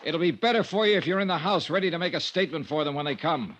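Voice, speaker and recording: An American actor playing a steady, hard-boiled insurance investigator in a vintage radio noir drama. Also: male voice, fairly high pitch, fast. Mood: urgent, firm. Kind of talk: 1950s radio noir acting and narration.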